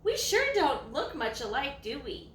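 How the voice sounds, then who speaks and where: A woman voicing a lazy, self-assured old ranch dog in a folksy, slow storybook read-aloud. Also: sits high, sing-song, fairly bright tone, exaggerated voice. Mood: fond. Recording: echoey room, faint background noise.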